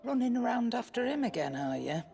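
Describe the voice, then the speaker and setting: Brusque, low-voiced British merchant woman. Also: sing-song, a little fast, mellow, faint music behind. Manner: blunt, wry, no-nonsense. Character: brusque, sharp-tongued, teasing woman merchant. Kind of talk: video game voice acting.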